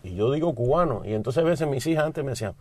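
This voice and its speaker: A man's voice: mid-range voice, animated, fast talker, dry recording. A middle-aged man from the United States, a studio guest speaking earnestly and with feeling. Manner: earnest, heartfelt. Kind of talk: interview talk.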